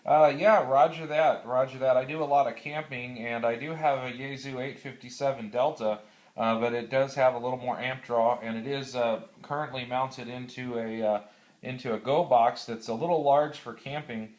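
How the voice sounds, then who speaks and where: An American man talking casually on amateur radio, explaining methodically and haltingly, with radio procedure words. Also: medium pitch, steady tone, a little mellow. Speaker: classroom teacher.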